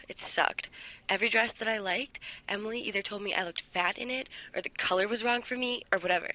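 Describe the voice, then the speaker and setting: A woman's voice: medium pitch, steady tone, a little fast, sharp tone, dry recording, natural voice, rough recording. A woman playing an exasperated, gossipy teenage girl in naturalistic audio drama dialogue.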